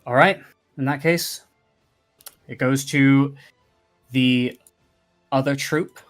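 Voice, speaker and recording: A man's voice: mid-range voice, steady tone, takes it slowly. A man narrating combat as game master of a tabletop roleplaying game, speaking casually. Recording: background music under the voice. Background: music.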